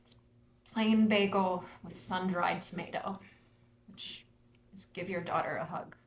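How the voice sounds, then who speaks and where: An actress reading an earnest, playfully wistful daughter who runs a theater, in a screenplay table read. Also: female voice, on the low side, steady tone, a little slow. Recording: echoey room with clear background noise.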